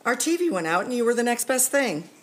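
A woman's voice: fairly high pitch, sing-song, fast. A woman playing a teasing wife in an audio comedy, with dry, bantering delivery.

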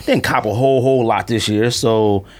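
A man's voice: medium pitch, steady tone, normal speed, darkish tone. A man hosting a podcast in casual, slangy, conversational talk. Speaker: podcast host. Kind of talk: casual sneaker podcast chat.

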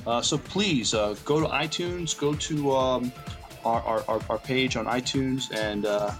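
A man co-hosting a casual podcast, speaking haltingly with many hesitant pauses. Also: medium pitch. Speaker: podcast co-host. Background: loud music bed.

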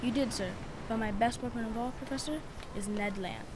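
A woman's voice: a little high, brisk, recorded from a distance, background noise, lightly acted. A teenage girl playing a courteous, confident navy ship commander in a youth radio play. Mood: courteous, proud. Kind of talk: youth radio theatre acting. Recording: radio play with music underneath.

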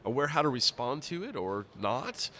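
A man with a raspy, nasally congested voice, chatting casually as a podcast host. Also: mid-range voice, big pitch swings, normal speed, sharp tone, slight background noise. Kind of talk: casual interview chat. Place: conference center during a busy event. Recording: live at a busy event venue.

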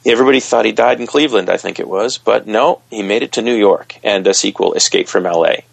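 A man's voice: fairly low pitch, fast, gravelly, husky, no echo. A man guesting on a podcast interview, describing favorite characters with enthusiastic storytelling. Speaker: guest author.

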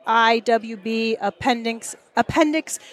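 A woman co-hosts a podcast from a trade show booth, and her fairly high voice runs chatty and upbeat. She keeps the talk loose, leaning on fillers as she goes. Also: takes it slowly, some chatter behind. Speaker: podcast co-host. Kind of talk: casual chat.

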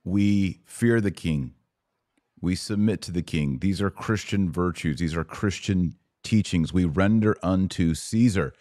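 A man's voice: deep voice, measured pace, dark tone, no echo, studio quality. A man hosting a podcast, giving conversational religious commentary in emphatic, short declarative phrases. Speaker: podcast host.

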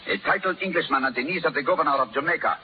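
An actor playing a boastful, menacing French pirate captain with a French accent in a theatrical 1930s radio drama style. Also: male voice, on the high side, very fast, lightly acted. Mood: smug. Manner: theatrical, emphatic, mocking. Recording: muffled, noisy 1930s radio recording.

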